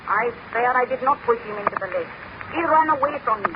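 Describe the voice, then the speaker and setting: An actress playing a frightened young servant accused of a crime, pleading and indignant, in a 1930s radio drama. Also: female voice, on the high side, lively intonation, a little fast, close to an everyday voice. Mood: defensive. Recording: hissy, muffled 1930s radio recording.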